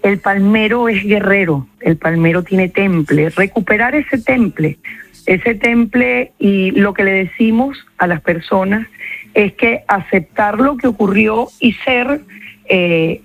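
A woman's voice: mid-range voice, slow, dry recording, rough recording. A woman with a Venezuelan accent, a psychologist answering interview questions in a reflective way and repeating key words for emphasis.

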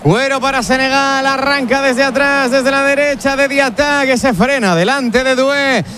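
Bright high-voiced football commentator. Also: male voice, steady tone. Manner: fast, bright play-by-play calls with jokes. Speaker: play-by-play commentator.

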